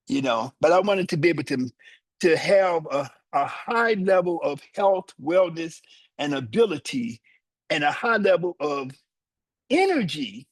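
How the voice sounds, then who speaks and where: A man in his seventies teaching yoga, guiding students through their body and explaining the practice in a slow, deliberate, explanatory way with short pauses. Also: on the high side, breathy edge, no room sound. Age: 70s. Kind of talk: guided yoga instruction with teaching asides.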